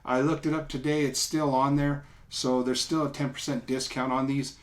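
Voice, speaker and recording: A middle-aged man giving a casual, unscripted product demo, explaining step by step in an informal, halting way. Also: medium pitch, little pitch movement. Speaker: product reviewer. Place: small indoor room, seated at a table.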